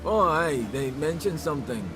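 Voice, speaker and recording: A man's voice: on the high side, lively intonation, moderate pace, music in the background, natural voice. A man voicing a dreamy, obsessive island bard in a video game, earnest and old-fashioned in his phrasing. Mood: excited, dreamy.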